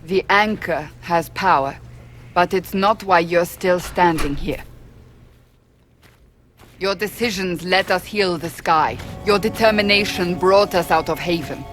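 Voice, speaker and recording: An actress playing an earnest, resolute warrior adviser in a fantasy video game, speaking in a solemn, measured way. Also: female voice, fairly low pitch, a bit bright. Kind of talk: dramatic video game acting. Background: game music.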